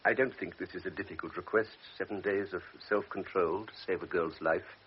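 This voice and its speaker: An actor playing a calm, authoritative older doctor in a radio drama, speaking in a measured, persuasive way. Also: male voice, sits low, slight background noise, natural voice. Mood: grave. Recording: muffled old radio recording.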